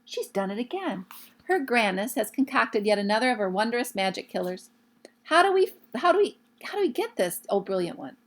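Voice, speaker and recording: A woman voicing an excitable, fawning witch follower in a children's storybook, eager and flattering. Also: a little high, fairly quick. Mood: awed excitement.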